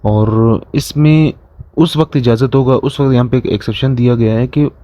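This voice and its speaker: Mellow young man explaining law. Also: medium pitch, steady tone, no room sound. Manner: halting, repeats and restarts phrases while explaining. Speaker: law lecturer. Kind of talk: explanatory lesson, reading aloud and paraphrasing.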